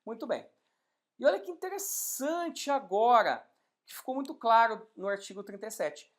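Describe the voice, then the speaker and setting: A woman teaching an exam-prep law class, explaining step by step and posing rhetorical questions with lively emphasis. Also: fairly high pitch, normal speed. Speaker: law instructor. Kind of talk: exam-prep legal lecture.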